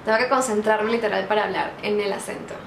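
A woman's voice: mid-range voice, fast talker, creaky, reverberant, noisy background. A woman in her twenties with a Venezuelan accent, chatting playfully to camera in a vlog and mocking herself as she tries out Chilean slang. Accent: Venezuelan, putting on Chilean slang. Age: 20s. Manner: playful, self-mocking, laughing often, animated hand gestures. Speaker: YouTuber. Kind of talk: casual vlog answering questions.

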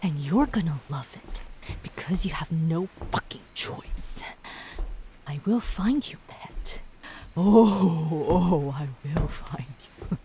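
A woman playing a sadistic, obsessive demon woman in an ASMR roleplay, gloating and possessive, with menacing breathy whispers that can break into shouts. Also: sits low, expressive, slow pace, background noise, heavily acted.

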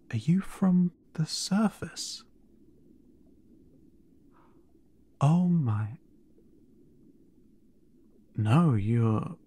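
A man playing a gentle, flustered siren in an audio roleplay, speaking haltingly and stammering with concern, often dropping to a breathy near-whisper. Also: mid-range voice, heavily acted. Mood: astonished. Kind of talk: audio roleplay addressed to the listener.

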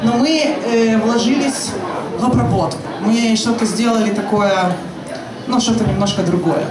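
A woman's voice: on the high side, normal speed, heavy background noise. A young woman, the guest singer, chatting and joking with the audience between songs at a live pub concert. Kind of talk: live concert banter and singing. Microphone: handheld mic. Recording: echoey live room, distant mic, loud music and crowd.

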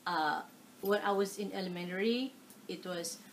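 A middle-aged woman from the Philippines with a Filipino accent, answering oral history questions in a living room, animated, with many fillers. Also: medium pitch, moderate pace, a little hiss or hum. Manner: animated, gestures while talking, frequent fillers. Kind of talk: casual oral history interview. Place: living room, seated on a leather sofa.

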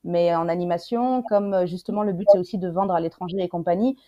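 Une femme qui travaille dans l'animation discute de façon décontractée, en invitée d'une table ronde en visioconférence. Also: female voice, on the low side, quick pace. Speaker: panel guest working in animation. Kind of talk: casual panel discussion. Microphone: video call from a room indoors. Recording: dull, lo-fi video call audio.

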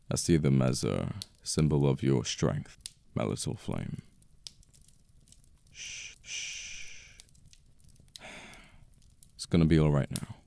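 A man acting a possessive yet protective mafia boss in an ASMR romance roleplay, with a British accent, a deep gravelly voice and an intimate manner full of sighs and pauses. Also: warm tone, no echo, full character voice. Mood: tender, protective. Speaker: voice actor. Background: birdsong, outdoor ambience effects.

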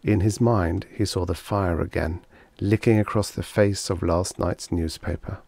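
A man with a fairly deep voice reads fiction as an audiobook narrator. His delivery is measured and expressive. The recording is dry, free of room sound. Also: vocal fry, no echo. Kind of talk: scripted story narration.

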